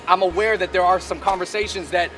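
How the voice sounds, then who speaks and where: A man's voice: sits high, fairly even intonation, fast. A man hosting a food show, chatting casually and presenting on camera.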